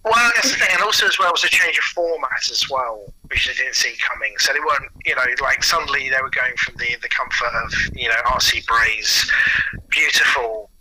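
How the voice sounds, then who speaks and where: A man being interviewed, chatting casually through anecdotes in a halting way, often repeating words as he starts a sentence. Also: mid-range voice, sharp tone, low-quality recording. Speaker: interview guest, audio producer and writer.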